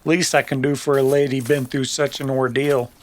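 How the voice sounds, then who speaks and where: A man's voice: medium pitch, level tone, normal speed, lightly acted. A man playing a cheeky, gallant cowboy with a folksy, wry manner in an old-time radio Western.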